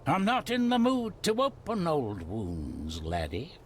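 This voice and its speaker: Gruff, slow-talking old Scottish gardener. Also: male voice, fairly high pitch, lively intonation, slight background noise. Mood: guarded. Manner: blunt, dialect-laden, guarded. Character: gruff, old-fashioned, superstitious older Scottish male gardener. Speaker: character actor in a video game. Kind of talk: dramatic game cutscene dialogue.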